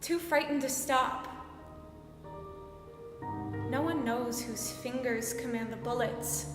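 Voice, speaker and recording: Young woman, dramatic monologue, slow deliberate delivery, clipped phrases, long reverberant echo. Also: on the high side. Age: young adult. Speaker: actor performing a monologue. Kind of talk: dramatic stage monologue, storytelling. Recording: echoey hall with long reverb. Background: music.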